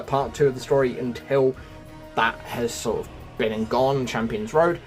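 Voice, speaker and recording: A man narrating a casual gaming guide in a chatty way, addressing viewers directly and musing as he goes. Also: mid-range voice. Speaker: gaming video creator.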